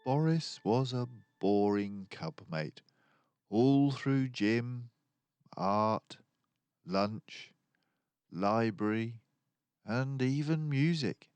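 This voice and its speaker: An older man reading a children's story aloud as an expressive narrator. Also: medium pitch, extremely slow, pauses often, clean tone, no echo. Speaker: storybook reader.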